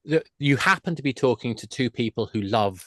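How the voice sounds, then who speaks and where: A man's voice: mid-range voice, lively intonation, a little fast, a little hiss or hum. A man speaking as an expert guest in a panel discussion, explaining things emphatically and often breaking off to rephrase himself. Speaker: guest, games industry expert.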